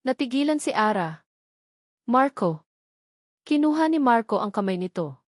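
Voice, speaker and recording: A woman's voice: fairly high pitch, expressive, a little fast, darkish tone, dry recording. Woman storyteller, earnest and close-miked. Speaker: storyteller and narrator. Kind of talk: scripted dramatic narration of a love story.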